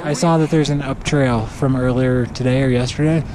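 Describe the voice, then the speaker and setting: A man's voice: mid-range voice, steady tone, moderate pace, loud music behind the voice. A man skiing alone in the backcountry, talking his situation through to his own camera in a hesitant, self-critical way, laughing nervously now and then. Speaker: backcountry skier filming himself. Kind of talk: thinking aloud to camera. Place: snowy mountain forest outdoors. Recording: outdoor wind and camera noise.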